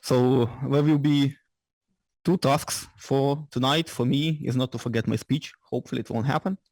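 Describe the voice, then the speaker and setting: A man with a Ukrainian-Scottish accent giving a lecture as an invited engineer. He speaks in halting, slightly ungrammatical English and often restarts his sentences. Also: mid-range voice, fairly even intonation, a little mellow, no room sound, poor audio. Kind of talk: lecture presentation. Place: lecture room with audience.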